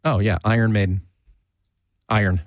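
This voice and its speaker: A man's voice: fairly low pitch, steady tone, moderate pace, dry recording, studio quality. A young man from New Jersey hosting a casual chat, talkative and self-mocking, laughing often. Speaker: podcast host.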